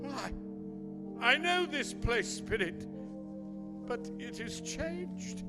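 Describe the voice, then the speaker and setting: Radio play: frightened old man played by a male actor, slow halting pleas, music behind. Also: very high voice, expressive, exaggerated voice. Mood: fearful bewilderment. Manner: pleading, halting, full of questions. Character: anguished, frightened old man pleading with a spirit. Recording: long hall reverb, music behind.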